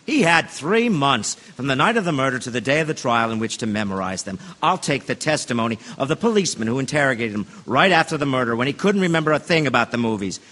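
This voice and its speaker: A man's voice: mid-range voice, big pitch swings, fast talker, hardly any pauses, a bit bright. A man playing a cool, logical, self-assured juror in a stage drama, clipped, precise and unruffled. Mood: composed, precise.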